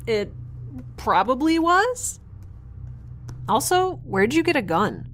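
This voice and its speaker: A woman's voice: sits high, big pitch swings, takes it slowly, a bit bright, slight background noise, full character voice. A woman in an audio drama playing a plucky, awkward young adventurer, talking casually with dry humour. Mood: relieved, wry. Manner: casual, rambling, dryly humorous. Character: plucky, wry, awkward young woman scientist-adventurer narrating her log. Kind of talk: naturalistic audio drama acting.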